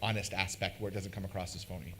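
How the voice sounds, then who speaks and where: A man's voice: low voice, little pitch movement, fast, a bit bright, a little hiss or hum. A man in the audience asking a question in an intense, self-deprecating way.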